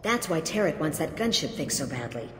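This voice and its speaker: Casting: a frank, fed-up merc lieutenant with a low voice, echoey game mix. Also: female voice, sing-song, brisk, slight background noise. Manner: frank, resentful. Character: female mercenary lieutenant, bitter, weary. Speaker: mercenary officer.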